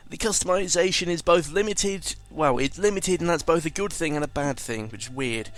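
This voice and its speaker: A man's voice: fairly high pitch, moderate pace, fairly bright tone, no echo. A man giving casual, rambling game commentary, informal and sweary, often correcting himself as he goes. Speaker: gaming video commentator.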